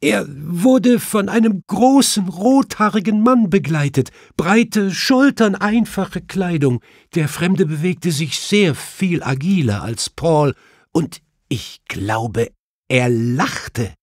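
A shaken, sobbing middle-aged widow, as a male reader puts her on. The voice is raised high and brittle, swooping with grief, and she tells her tale haltingly in an audiobook mystery. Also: expressive, a little mellow, no echo, studio quality, full character voice. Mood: tearful. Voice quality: brittle, tearful voice.

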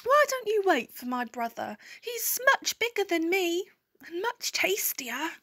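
Little storybook goat, woman performing: very high squeak, young, timid, pleading. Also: big pitch swings, a little slow, bright, forceful tone, heavily acted. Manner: squeaky, quaking. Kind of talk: children's storybook character voice.

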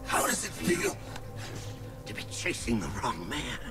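A man's voice: medium pitch, huge pitch swings, a little slow. An actor playing an arrogant, taunting henchman of a supervillain in a video game. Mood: mocking. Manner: mocking. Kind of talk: video game cutscene acting. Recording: music and vehicle noise behind.

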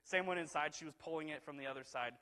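A man in his thirties preaching a sermon, telling a personal story in a conversational, animated way and often asking the congregation "right?", while pacing the stage of a church sanctuary. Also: a little high, fast talker. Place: church sanctuary, large hall. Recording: hall reverb in a church sanctuary.

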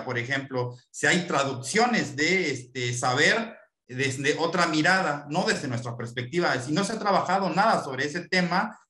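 A man's voice: fairly high pitch, pushed and bright. A man in his thirties from Mexico, answering questions in an online lecture on a video call, earnest and thoughtful with many fillers. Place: small room at home, window behind. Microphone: laptop webcam video call. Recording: echoey room on a video call.

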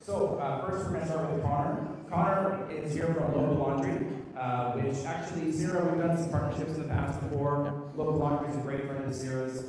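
A man's voice: on the high side, fairly even intonation, a little fast, few pauses, a little mellow, slight background noise. A man moderating a panel, casually introducing speakers with a lot of hesitation. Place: event hall. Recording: distant, very echoey hall with crowd.

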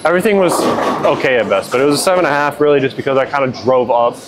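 A young man and bowler talking relaxed through his practice shots and rating them, on a lapel mic in a large indoor bowling alley. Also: medium pitch, rapid-fire. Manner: relaxed, rates his own shots plainly. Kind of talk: casual instructional talk to camera. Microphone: clip-on lapel mic. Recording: noisy bowling alley behind. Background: bowling alley noise, clicks.

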